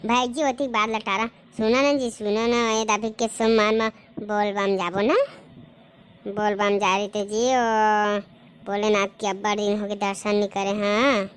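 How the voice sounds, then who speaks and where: A woman playing an exasperated, sharp-tongued village wife in a comic cartoon, grumbling colloquially with a Chhattisgarhi accent. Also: medium pitch, level tone, a little slow, clean tone, natural voice. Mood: coaxing. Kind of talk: comic cartoon dialogue in dialect.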